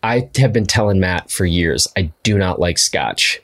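A young man with a very low voice trades jokes on a podcast. He talks in a dry, self-deprecating, offhand way. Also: animated, a little slow, a bit bright. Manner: dry, joking, self-mocking. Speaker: podcast host. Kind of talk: casual podcast chat.

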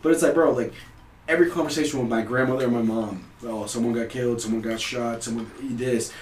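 A man's voice: mid-range voice, fast, recorded from a distance. A man in his thirties from Puerto Rico, a podcast guest telling stories in a loose, profane, laughing chat. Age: 30s. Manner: animated, profane, laughs often. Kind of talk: casual storytelling chat. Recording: roomy, off-mic with faint hiss.

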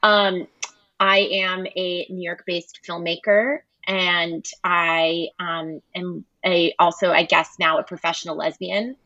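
A woman's voice: on the low side, level tone, slow pace, bright, forceful tone, dry recording, muffled recording. An American woman filmmaker, a podcast guest, talking in a casual, playful way with many fillers. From United States. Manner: chatty, playful, many ums. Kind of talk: casual chat.

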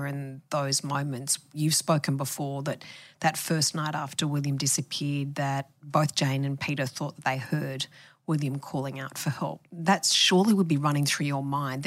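Australian woman, low voice, measured podcast interviewer, dry close sound. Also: dry recording. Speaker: podcast host interviewing a journalist.

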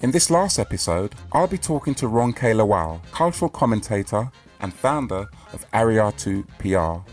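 A man hosting a podcast, reading a formal intro and then interviewing his guest in a measured, attentive way. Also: lowish voice. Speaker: podcast host.

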